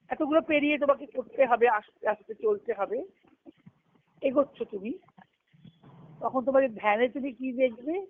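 A woman leading a devotional teaching on a conference call, reflective and explaining step by step, often asking a question and then answering it. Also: on the high side. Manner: recites scripture terms in a measured cadence. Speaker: spiritual discourse leader. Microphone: phone on a conference call. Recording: tinny conference-call phone line.

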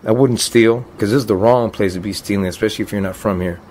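A man in his fifties with a somewhat low voice gives a documentary interview. He talks in a plain, earnest way and lets real emotion show without dressing it up. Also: fast, darkish tone. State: choked up. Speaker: deported veteran telling his story.

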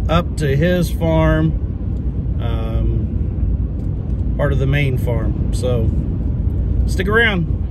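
A Midwestern man in his thirties talks slowly and plainly about farm chores. His voice sits a little high. Heavy outdoor noise from a phone camera covers the recording. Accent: Midwestern American. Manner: slow, folksy, rural speech. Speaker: farm vlogger. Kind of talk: casual vlog narration. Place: in a car, then outdoors at a farm shed. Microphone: phone camera, then camera on the ground. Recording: loud wind and outdoor noise.

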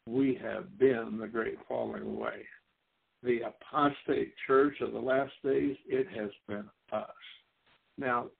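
Folksy preacher man on a tinny line. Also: mid-range voice. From Missouri. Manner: rambling, folksy, frequent restarts and fillers. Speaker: Bible teacher. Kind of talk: sermon-style Bible teaching. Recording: husky voice over a tinny phone-like line.

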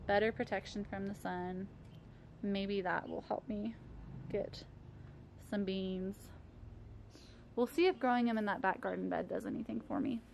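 A woman's voice: medium pitch, darkish tone. A woman in her thirties giving a casual, chatty garden tour, talking affectionately as she goes. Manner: chatty, affectionate talk about plants. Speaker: home gardening vlogger. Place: outdoors in a home backyard garden. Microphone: handheld camera. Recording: clear background noise outdoors.

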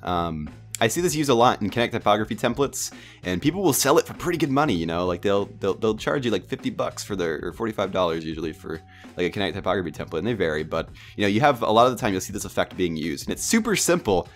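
A low-voiced young man with a stuffed-up, nasal sound, as if fighting a cold. He walks through a screen tutorial casually, rambling and filling pauses with ums and uhs, while soft music plays faintly underneath. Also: lively intonation. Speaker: tutorial host.

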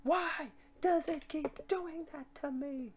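A man's voice: extremely high pitch, slow pace. Man rambling through a tutorial, noisy mic. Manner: rambling, thinking aloud while working. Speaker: tutorial host. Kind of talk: casual software tutorial narration. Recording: clearly noisy mic, keyboard clicks. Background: typing and mouse clicks.

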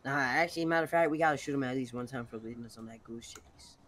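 A man's voice: mid-range voice, fast talker, fairly bright tone. An American man roleplaying a hotheaded gang member, talking bluntly and casually with lots of swearing and slang. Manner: blunt, brash, profane. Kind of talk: casual in-character street chat.

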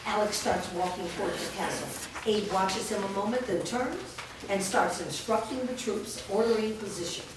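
A woman narrating stage directions in a staged script reading, reading them clearly and evenly. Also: mid-range voice, normal speed. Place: large indoor studio room. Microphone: far camera. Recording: echoey room from far off, noisy background.

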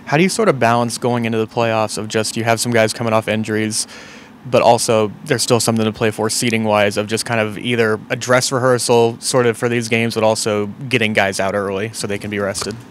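A man's voice: lowish voice, fairly even intonation, brisk, a little hiss or hum. A male reporter asking long, winding questions at a press conference from out in the room.

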